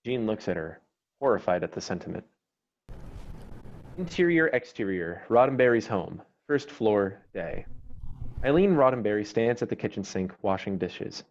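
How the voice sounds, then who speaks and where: Low-voiced male screenplay narrator, dull sound. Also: expressive, moderate pace. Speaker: narrator reading stage directions. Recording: dull, noisy online recording with clicks.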